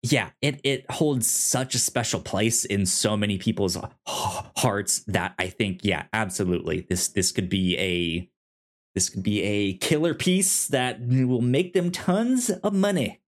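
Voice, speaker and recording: A man co-hosting a podcast, chatting casually about films in a halting, repetitive, enthusiastic fan's way. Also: on the low side. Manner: halting, repetitive, enthusiastic self-described nerd. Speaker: podcast co-host. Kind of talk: casual film discussion chat.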